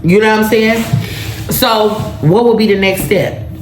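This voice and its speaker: A woman's voice: medium pitch, unhurried. A woman in her thirties hosting a casual solo podcast, telling a story and turning to her listeners again and again to check they are with her. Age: 30s. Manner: chatty storytelling that keeps turning to the listeners with "right?" and "y'all". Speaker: podcast host. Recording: echoey room with faint background noise.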